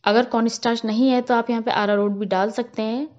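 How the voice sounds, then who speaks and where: Cooking channel host, woman, higher voice, calm step-by-step voice-over, slightly muffled lo-fi sound. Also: fast. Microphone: voice-over, not on camera.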